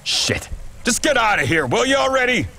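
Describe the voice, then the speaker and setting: A man's voice: extremely high pitch, big pitch swings, moderate pace, gravelly, bright, forceful tone, slight background noise, heavily acted. Jumpy, irritable male informant. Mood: nervous, annoyed. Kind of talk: video game voice acting.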